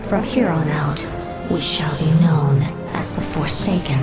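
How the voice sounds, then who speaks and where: A cold, commanding undead queen in a fantasy game. Her voice is somewhat low and imperious, with a ruthless edge. Loud music plays underneath. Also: unhurried. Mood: proud, menacing.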